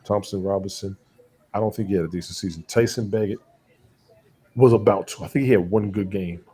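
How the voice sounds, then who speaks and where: Husky deep-voiced man, lively. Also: brisk. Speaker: co-host of fantasy football show. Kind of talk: casual sports chat.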